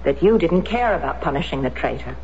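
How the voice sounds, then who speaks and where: An actress playing a middle-aged, determined American war widow in a radio drama, speaking earnestly and insistently. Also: female voice, low voice, animated, a little fast, darkish tone. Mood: challenging, stubborn. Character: determined American war widow seeking her husband's betrayer. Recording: dull, noisy vintage radio recording.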